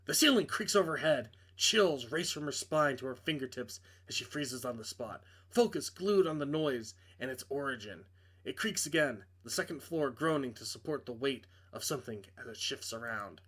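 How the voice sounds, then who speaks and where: A man's voice: mid-range voice, expressive, moderate pace, a bit bright, breathy edge. A man narrating a visual novel aloud in a dramatic read-aloud style as he plays it. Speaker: video game player and narrator.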